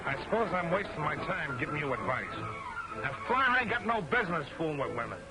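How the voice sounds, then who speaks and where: A worldly airfield mechanic from a vintage serial, talking in folksy slang. His pitch is fairly high and moves a lot. Loud music fills the old soundtrack. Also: big pitch swings, brisk, rough recording. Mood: casual, advising. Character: gruff, worldly airfield mechanic sending off a trainee. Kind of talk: 1940s film serial acting.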